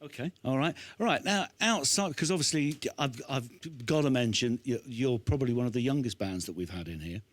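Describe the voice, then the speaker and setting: A man's voice: mid-range voice, lively intonation, a little fast, hardly any pauses, no echo. A man in his fifties hosting a casual on-stage interview in a chatty, encouraging way, speaking into a handheld microphone. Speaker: host interviewing a young band.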